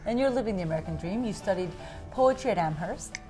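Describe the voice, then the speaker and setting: A woman's voice: medium pitch, lively intonation, fast talker, loud music behind the voice, poor audio. A woman hosting a TV interview at a guest's home, asking admiring, leading questions. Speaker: TV interview host.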